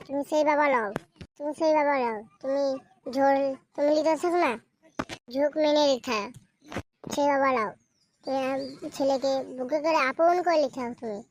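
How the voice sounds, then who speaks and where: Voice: high voice, highs cut off, a little hiss or hum, close to an everyday voice. A performer voicing a grieving village mother in a comic cartoon, wailing and reproachful. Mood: tearful reproach. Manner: wailing, drawn-out lamenting and reproachful. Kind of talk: amateur comic cartoon dubbing.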